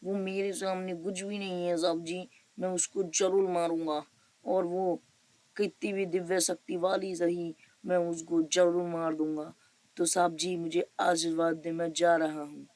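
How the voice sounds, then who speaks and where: A woman's voice: fairly low pitch, little pitch movement, a little slow, darkish tone, natural voice. A woman voicing a boastful village man in a cartoon story, eager to slay a ghost and deferential to his master. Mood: eager bravado.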